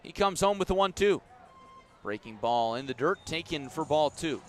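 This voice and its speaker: A man doing live baseball play-by-play as a radio announcer, giving measured, detailed commentary that rises on each pitch. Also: lively intonation, faint music behind.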